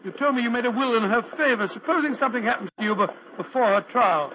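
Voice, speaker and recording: Older British gentleman, very high voice, genial, flustered, vintage radio drama, noisy old recording. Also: lively intonation, fast talker, lo-fi sound. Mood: relieved, bemused. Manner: bumbling, flustered, hesitant. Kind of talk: 1940s radio acting.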